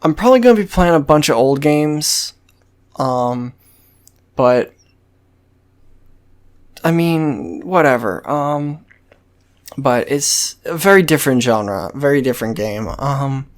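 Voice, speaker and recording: Slow-paced man rambling casually about games. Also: medium pitch, lively intonation. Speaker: gaming video host. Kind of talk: casual unscripted game commentary.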